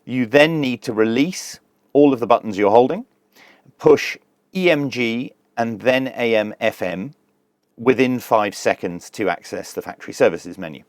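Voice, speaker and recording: A man reviews a piece of kit in a casual, hands-on way. His voice sits at an average male pitch and is recorded very dry, with no room sound. He explains things plainly, step by step, with a streak of dry humour. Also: normal speed, dry recording. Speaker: product reviewer.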